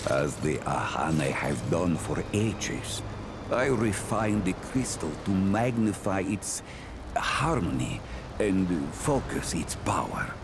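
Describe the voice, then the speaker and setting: A slow, gravelly, deep jungle sage. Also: male voice, big pitch swings, music in the background, exaggerated voice. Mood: reverent, explaining. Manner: formal, archaic phrasing, slow storytelling. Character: male Pan trader from a jungle world, solemn and wise. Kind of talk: video game character monologue.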